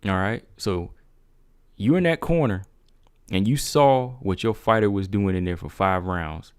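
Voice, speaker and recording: A man's voice: lowish voice, a little slow, clear, resonant tone, dry recording, clean studio sound. A man hosting a casual MMA livestream, asking his viewers rhetorical questions. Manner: talks straight to viewers, asks rhetorical questions. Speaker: MMA talk show host.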